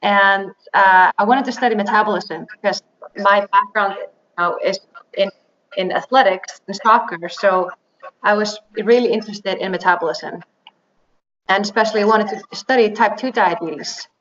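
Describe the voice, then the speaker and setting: A woman's voice: medium pitch, fairly bright tone. Give me a woman answering an interview with fillers and restarts, on a slightly muffled recording. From Iceland. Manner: halting, many fillers and restarts. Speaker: interview guest, biotech executive. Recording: slightly dull, faint noise.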